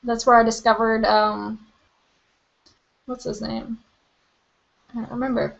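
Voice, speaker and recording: A young woman hosting a live book Q&A from her webcam, chatty and rambling with lots of "like" and "um", her voice a little hoarse. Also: a little high, little pitch movement, a little slow, dull audio. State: slightly hoarse, losing her voice. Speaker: book vlogger. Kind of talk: live-stream Q&A.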